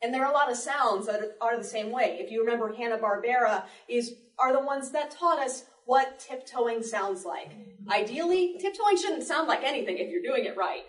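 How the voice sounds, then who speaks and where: A woman's voice: on the high side, expressive, normal speed, far from the mic. A woman speaking informally on a panel as a live Foley sound artist, presenting to an audience. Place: public library panel room. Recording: roomy library panel, off-mic.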